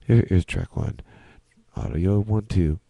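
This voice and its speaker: A man with a very muted, mellow low voice presents an informal tutorial. He repeats words in a playful, chanting way. The sound is close and dry. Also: slow, gravelly, dry recording. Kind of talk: informal screen-recorded walkthrough.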